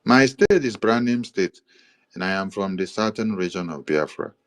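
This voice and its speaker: A man with a Nigerian accent giving an explanatory monologue, listing items in a steady, repetitive rhythm with occasional hesitations. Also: fairly low pitch, normal speed. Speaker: commentator presenting a map.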